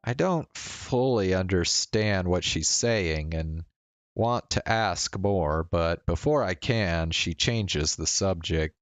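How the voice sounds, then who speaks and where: Slow, low-voiced man narrating in a roomy space. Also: smooth. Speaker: streamer reading a visual novel aloud. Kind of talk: narration of a visual novel, read aloud. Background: faint music.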